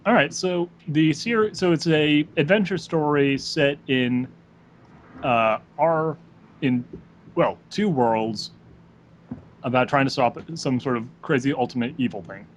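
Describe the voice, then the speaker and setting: A man's voice: a little high, measured pace. A man chatting casually as a podcast co-host, swearing freely and explaining things in an opinionated way. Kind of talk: casual chat. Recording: clearly noisy background.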